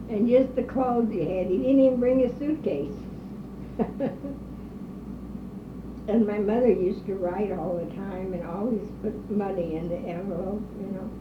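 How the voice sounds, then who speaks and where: An older woman being interviewed, slowly reminiscing about her old neighborhood with pauses, hesitations and the odd cough. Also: a little high. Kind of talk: oral history interview. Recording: distant roomy lo-fi recording with noise.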